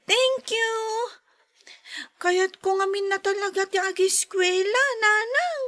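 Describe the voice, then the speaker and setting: A small, pleading child in a podcast drama. The voice is extremely high and bright, and the words come slowly. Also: female voice, heavily acted. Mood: eager. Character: small sickly young child, pleading, eager for school.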